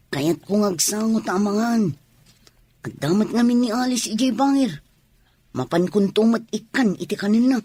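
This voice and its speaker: Woman as a husky, mellow tomboy sweetheart. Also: medium pitch, animated, normal speed, pauses often. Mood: teasing. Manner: sweet-talking. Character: devoted lovestruck tomboy suitor, teasing young woman. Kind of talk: Ilocano radio drama acting.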